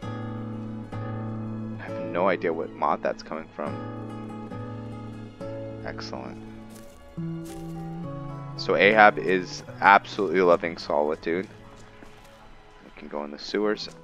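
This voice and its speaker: A man's voice: sits low, measured pace, pauses often, highs cut off. A man hosting a gaming video with casual roleplay commentary. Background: game music.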